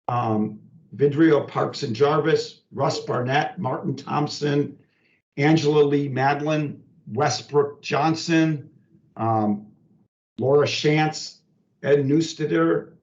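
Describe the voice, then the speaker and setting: Slow-paced man, distant and echoey. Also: fairly high pitch. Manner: halting, deliberate. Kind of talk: work conference call announcements. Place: remote conference call. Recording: echoey, distant, dull conference call.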